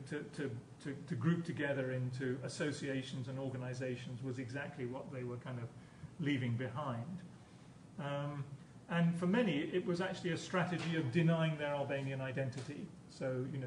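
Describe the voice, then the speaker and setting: A man giving an academic conference lecture as a professor, explaining hesitantly and often repeating his words. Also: mid-range voice. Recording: echoey room, distant mic, background noise.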